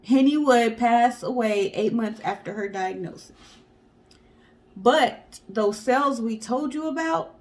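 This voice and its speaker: A woman co-hosting a storytelling show, reading a story in a casual way and addressing the audience. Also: fairly high pitch.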